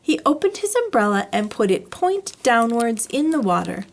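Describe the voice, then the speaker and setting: A woman reading a children's story aloud in an expressive, deliberate storytelling style. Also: a little high.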